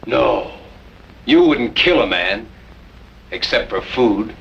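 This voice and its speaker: Stern, forceful western cattleman. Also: medium pitch, animated, slow pace, creaky, full character voice. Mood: accusing. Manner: terse, commanding, dry. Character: stern, suspicious western cattleman taking charge. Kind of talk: old time radio western acting. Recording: muffled, noisy old radio recording.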